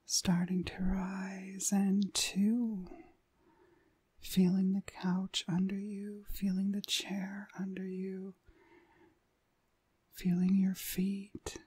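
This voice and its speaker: Female performer as commanding witch hypnotist, ASMR roleplay. Hushed, very breathy, soft dark tone, coaxing and deliberate, close dry sound. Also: medium pitch, monotone, no echo, heavily acted. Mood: calm, guiding. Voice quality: breathy whisper. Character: dominant witch next door, seductive, commanding hypnotist mistress.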